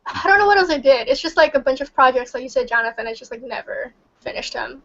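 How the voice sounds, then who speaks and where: A young woman from Indonesia, whose first language is Indonesian, talks casually in a high voice. She keeps dropping "like" and "um" as she chats. The recording is dull and lo-fi. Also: lively intonation, quick pace, fairly bright tone. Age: young adult. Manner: chatty, many fillers like "like" and "um". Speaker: study group member. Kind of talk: casual chat.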